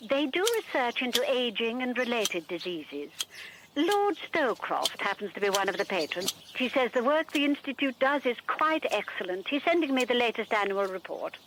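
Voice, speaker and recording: A woman's voice: a little high, sing-song, normal speed, noisy background. A woman acting in a radio drama as a formal, precise and efficient assistant to a detective. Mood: businesslike.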